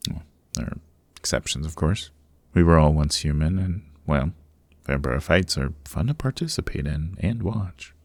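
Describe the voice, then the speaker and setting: Man acting as courteous old male vampire, extremely deep, creaky fry, genteel old-fashioned manner, chatty, ASMR roleplay, close mic. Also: a little mellow, no room sound, exaggerated voice. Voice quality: deep, gravelly, husky. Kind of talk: ASMR roleplay, interview monologue. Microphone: close microphone, dry.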